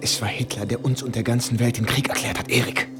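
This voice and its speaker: An actor playing an anxious, pleading young American soldier in a radio drama, narrating and speaking in a tense way. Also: male voice, mid-range voice, flat delivery, fast talker, lightly acted. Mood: urgent, persuading. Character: cornered young American soldier John, anxious, pleading, posing as German officer. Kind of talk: radio drama acting and first-person narration. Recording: studio radio play with music underneath.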